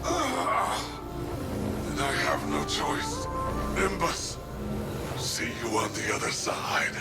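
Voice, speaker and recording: An actor playing a stoic, battle-hardened cyborg city protector in a video game, grave and resolute. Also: male voice, mid-range voice, wildly swooping pitch, takes it slowly, gravelly, over loud music, heavily acted. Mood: resigned. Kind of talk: dramatic video game acting.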